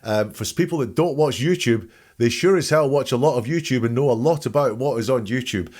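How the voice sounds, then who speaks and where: A man's voice: mid-range voice, brisk, darkish tone. A man giving informal, blunt and opinionated commentary as he hosts an analysis of a recording. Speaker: host analysing a recorded call.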